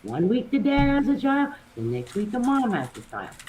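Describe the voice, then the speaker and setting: A middle-aged woman speaks emphatically on a panel, with her pitch swinging widely as she stresses her points. She repeats key lines to drive them home. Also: wildly swooping pitch, moderate pace, warm tone, a little hiss or hum. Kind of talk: panel debate.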